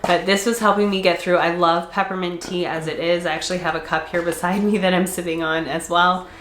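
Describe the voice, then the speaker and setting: A woman's voice: on the low side, steady tone, brisk, a bit bright. A congested woman vlogger chatting casually, laughing often and filling her speech with fillers. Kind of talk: casual chat. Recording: echoey room.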